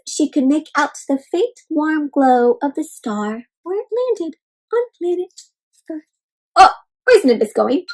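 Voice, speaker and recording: A woman doing an interactive children's read-aloud, expressive and full of exclamations, often putting questions to young listeners. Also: very high-pitched, poor audio. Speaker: host reading a picture book aloud to children.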